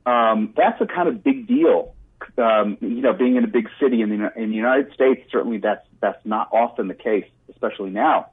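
A man chatting casually as an interview guest, halting and repeating words as he thinks. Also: lowish voice, breathy edge. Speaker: interview guest, political commentator. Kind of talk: casual spontaneous interview chat. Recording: muffled, band-limited remote line.

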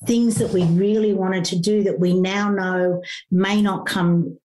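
A woman's voice: mid-range voice, steady tone, a little slow, darkish tone, rough recording. A middle-aged woman, a grief coach talking through her process in an interview in an earnest, teacherly way, with frequent fillers and restarts. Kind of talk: conversational interview.

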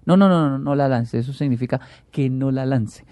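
A man, a talk show guest who tells animated stories and acts out the quotes. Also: mid-range voice, normal speed, no room sound. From Colombia. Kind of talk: casual chat.

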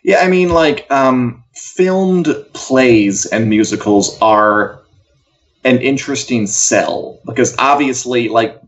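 A man's voice: mid-range voice, slow pace. An American man co-hosting an entertainment show, chatting casually and posing opinionated rhetorical questions. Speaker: co-host of an entertainment news show. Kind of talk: casual chat.

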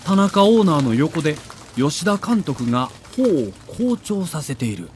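A man's voice: a little high, sing-song, unhurried, darkish tone, a little hiss or hum. A man reading scripted audio documentary narration in a dramatic, emphatic storytelling manner.

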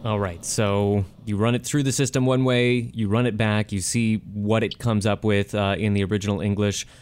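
A man's voice: fairly low pitch, flat delivery, moderate pace, a little mellow, clear, resonant tone, no room sound, studio quality. A man hosting a radio interview show, speaking in a conversational, emphatic presenter style. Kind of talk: scripted radio introduction and interview.

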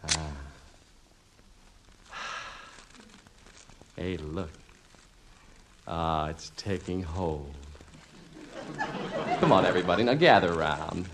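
An actor playing an excitable, sentimental husband and father in a 1950s radio comedy, stammering and emphatic. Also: sits low, unhurried, pauses often, a crowd in the background, background noise. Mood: delighted, touched. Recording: vintage 1950s radio recording.

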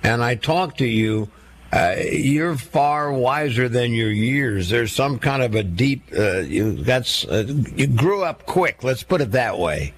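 A man's voice: medium pitch, lively intonation, takes it slowly. A male radio host interviewing a guest in a friendly, folksy, joking way.

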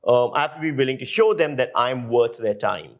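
Male interview guest, journalist and lecturer from Pakistan. Mid-pitched voice, emphatic, anecdotal explaining. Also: brisk, dull audio.

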